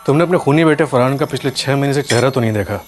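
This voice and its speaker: An actor playing a stern, threatening police investigator with an official, commanding manner in a TV crime drama. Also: male voice, medium pitch, very fast, a little hiss or hum, lightly acted. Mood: stern warning.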